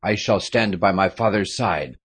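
A man's voice: deep voice, normal speed, breathy edge. A man voicing a proud, dutiful young French noble son who speaks firmly in an audiobook. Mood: earnest. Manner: firm, dutiful.

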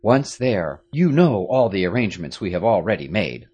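A man's voice: lowish voice, big pitch swings, normal speed, a little mellow, breathy edge, dull audio. A man voicing a stern, commanding aristocratic French father in an audiobook, speaking firmly. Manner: firm, authoritative. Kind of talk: audiobook character reading.

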